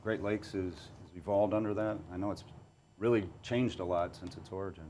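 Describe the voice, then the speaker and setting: A middle-aged man hosting a podcast interview, affirming his guests with quick "right"s. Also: sits low, fairly even intonation, warm tone, slight background noise. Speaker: podcast host.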